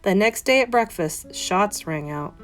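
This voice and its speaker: A woman, a teacher, reading a children's picture book aloud in an expressive, carefully phrased, dramatic manner. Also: mid-range voice, unhurried. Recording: soft guitar music behind voice. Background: plucked guitar music.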